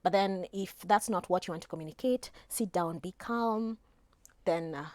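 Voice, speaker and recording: A woman, an etiquette trainer calmly explaining phone manners on a studio talk show, with frequent fillers. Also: fairly low pitch, dry recording. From Kenya. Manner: calm, measured advice with frequent fillers.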